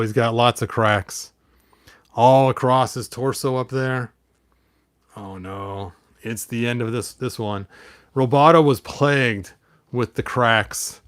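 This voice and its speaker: A man with a medium-pitched voice hosts a casual collector's vlog. He rambles from point to point and often talks half to himself while handling things. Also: clean tone. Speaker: toy collector, video host.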